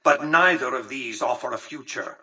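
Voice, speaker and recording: Game villain-patron: aristocratic male mastermind, bright, forceful delivery, pompous and exacting, set slightly back from the mic. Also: medium pitch, breathy edge, far from the mic. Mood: grandiose, disdainful. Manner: pompous, articulate, measured, condescending. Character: imperious, calculating, aristocratic male casino tycoon and mastermind. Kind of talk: theatrical video game character dialogue.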